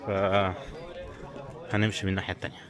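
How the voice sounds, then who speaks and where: Male Egyptian vlogger, brisk casual delivery straight to the audience, recorded outdoors among a clearly audible crowd. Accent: Egyptian Arabic. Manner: informal, chatty, addresses viewers directly. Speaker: travel vlogger. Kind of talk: casual walking vlog chat to viewers. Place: outdoors in a busy tourist village. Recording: crowd chatter, outdoor street sound. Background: crowd and outdoor sounds.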